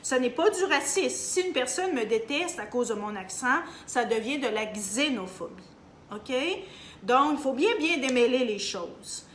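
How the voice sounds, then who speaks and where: A woman's voice: high-pitched, expressive, measured pace, fairly bright tone. Canadian French woman, echoey room. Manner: emphatic, hesitant with many fillers, addresses viewers directly. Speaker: video commentator. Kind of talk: opinion monologue to camera. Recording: echoey room, faint background hum.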